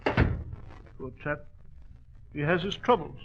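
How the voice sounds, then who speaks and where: High, blustery British gentleman doctor. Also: slow pace, vocal fry, full character voice. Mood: bluff, curious. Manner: blustery, exclaiming, bemused. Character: bluff, puzzled older English gentleman doctor. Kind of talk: 1940s radio drama acting. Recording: dull, noisy vintage radio recording.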